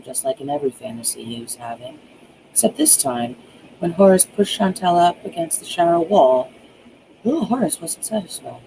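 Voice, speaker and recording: An author reading their own horror thriller aloud as dramatic narration, drawing out key words with hushed emphasis. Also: medium pitch, creaky, a little hiss or hum, low-quality recording.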